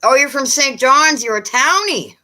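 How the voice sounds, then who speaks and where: A woman with a Newfoundland accent chatting casually with viewers on a live stream, often pausing with fillers. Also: high-pitched, animated, pushed and bright. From Newfoundland, Canada. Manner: chatty, hesitant, many fillers.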